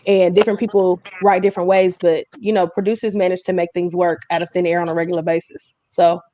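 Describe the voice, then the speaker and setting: A woman's voice: lowish voice, little pitch movement, fast, warm tone. A woman, hosting and asking questions in a casual, conversational interview. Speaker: host interviewer.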